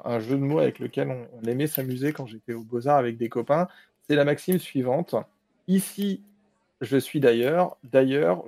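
Mellow-voiced man hosting an interview. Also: mid-range voice, gravelly. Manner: measured, rephrases questions with restarts. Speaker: podcast host.

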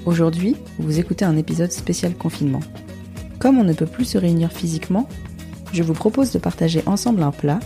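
A woman from France hosting a podcast, reading her scripted introduction in a measured way. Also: on the low side. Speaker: podcast host.

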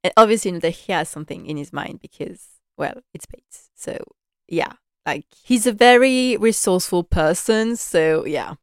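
A woman's voice: lowish voice, lively intonation, normal speed, dry recording, studio quality. Chatty, enthusiastic woman recapping episodes. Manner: chatty and enthusiastic, many "like" and "you know" fillers, laughs often. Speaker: podcast host recapping a TV drama.

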